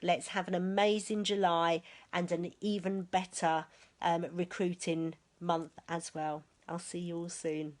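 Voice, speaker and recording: A woman's voice: fairly low pitch, level tone, a little slow. A woman hosting a casual live stream for her sales team, chatty and encouraging, reading viewers' comments aloud.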